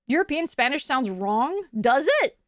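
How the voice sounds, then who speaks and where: A woman's voice: high-pitched, sing-song, a little slow, a bit bright, dry recording, clean studio sound. A young adult woman streaming from home, chatting casually with her chat. She rambles, restarts her sentences often and laughs a lot.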